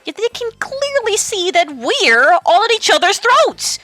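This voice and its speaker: Woman as proud goddess queen, indignant, sharp, sweeping pitch, tense bright tone, audio drama. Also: sing-song, a little slow, no room sound, soft background music, full character voice. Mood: impassioned, pleading. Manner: sharp, cold, forceful.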